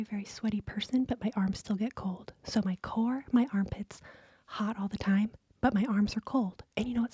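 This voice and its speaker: A woman co-hosting a podcast in a soft, breathy ASMR-style whisper, chatting close to the microphone. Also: medium pitch, dry recording. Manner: whispering and speaking softly. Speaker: podcast co-host.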